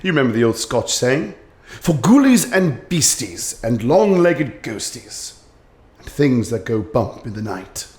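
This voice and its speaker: A man playing a shrewd, calm detective in a radio play, brisk and commanding with a dry wit, in a roomy room. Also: medium pitch. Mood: calm, deductive. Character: shrewd calm male detective, middle-aged. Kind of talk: community radio theater acting. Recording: roomy echo.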